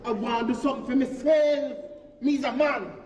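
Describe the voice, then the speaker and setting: Henpecked jamaican husband, really high voice, pleading, stage comedy in an echoey hall. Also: male voice, fairly even intonation, moderate pace, a crowd in the background. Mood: proud, earnest. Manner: pleading, protesting. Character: proud, put-upon street-vendor husband standing his ground. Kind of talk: comic stage play acting. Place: on a theatre stage before an audience. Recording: echoey theatre hall, distant, noisy.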